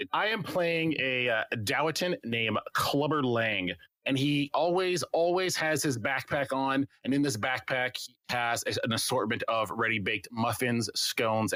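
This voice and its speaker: A man's voice: mid-range voice, moderate pace, sharp tone, dry recording. A man chatting casually as a player introducing himself on a tabletop game podcast. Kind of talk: casual chat.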